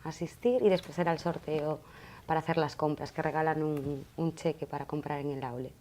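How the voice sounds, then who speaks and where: A woman's voice: low voice, fairly even intonation, fairly quick, mellow, a little hiss or hum. A woman, a panel guest chatting and announcing an upcoming event. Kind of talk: studio panel discussion.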